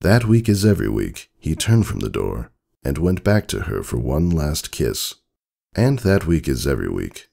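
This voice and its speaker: A man giving a dramatic storybook narration in a measured, solemn, theatrical manner. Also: extremely low pitch, creaky, dark tone.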